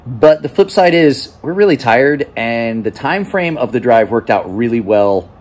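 A man's voice: medium pitch, lively intonation, measured pace, darkish tone. A tired man in his forties, vlogging casually into a handheld phone from an office and then a workshop, rambling and chatty. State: exhausted. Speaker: knife channel host. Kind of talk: casual vlog to camera. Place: small cluttered office, then large workshop. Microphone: phone held at arm's length.

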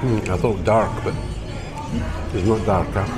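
A man's voice: fairly low pitch, fairly even intonation, measured pace. A man in his sixties chatting casually over a meal as a vlogger, recorded on a clip-on mic in a restaurant. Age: 60s. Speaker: vlogger, host. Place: indoor restaurant, seated at a table. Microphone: clip-on lavalier on shirt. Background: loud music.

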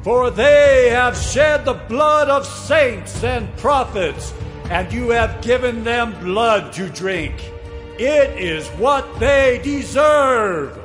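Casting: solemn angel, very high voice, long reverb, declamatory scripture drama. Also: male voice, animated, a bit bright, exaggerated voice. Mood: solemn, fierce. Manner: slow, declamatory. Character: solemn angel in charge of the waters. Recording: long hall reverb over music.

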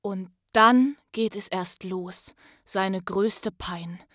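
Casting: female storyteller, dramatic and unhurried, bright tone, dry close sound. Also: mid-range voice, no room sound. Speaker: actress and voice artist, guest reader. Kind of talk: expressive storybook narration.

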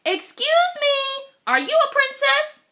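A woman's voice: extremely high pitch, sing-song, slow pace, sharp tone, pitched above the performer's own voice, exaggerated voice. A woman voicing a naive young male frog from a picture book, dramatic and exclaiming. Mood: insistent.

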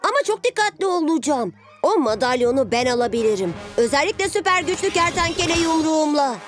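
Kids' cartoon boy hero: very high, lively swings, childlike and stubborn, music behind. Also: brisk. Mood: determined. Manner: eager, childlike, showing off. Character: eager, stubborn six-year-old boy superhero in lizard costume. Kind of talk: dubbed children's cartoon acting. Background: background music.

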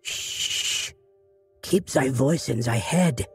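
Commanding woman soldier in disguise, old-fashioned speech, lively pitch, voiced by a man. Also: unhurried, faint music behind. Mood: hushed.

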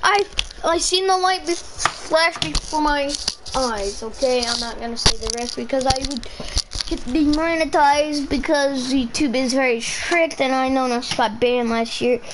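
A woman's voice: sits high, a little slow, noisy background. A woman doing casual, rambling gameplay commentary as a YouTuber, playful and humming nonsense syllables. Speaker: gaming YouTuber.

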